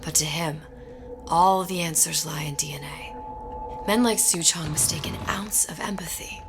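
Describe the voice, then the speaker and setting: An actress playing a weary, guilt-ridden young woman in a video game, speaking in a haunted, halting, introspective way. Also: sits low, music in the background. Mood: scornful. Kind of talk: dramatic video game acting.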